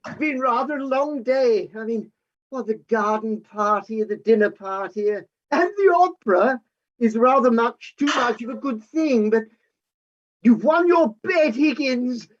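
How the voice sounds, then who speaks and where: An actor playing a genial, mild-mannered gentleman in a staged play reading, polite and hesitant in his talk. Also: very high-pitched, expressive, a little slow, muffled recording, full character voice. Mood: genial, weary.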